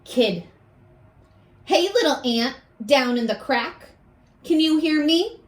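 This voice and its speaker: A bossy storybook kid as a woman reads the part, talking down to someone tiny. The delivery is slow and emphatic, with taunting stretched words and big swings in pitch. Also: expressive. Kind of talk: picture book read-aloud for young children.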